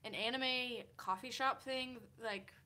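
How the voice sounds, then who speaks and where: Young woman, early twenties, bright voice, chatty rambling livestreamer, laptop mic in a hotel room. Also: a little high, slow. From Kansas, USA. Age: 20s. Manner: chatty, rambling, many "like" fillers, laughs often. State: tired. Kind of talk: casual livestream chat reading comments.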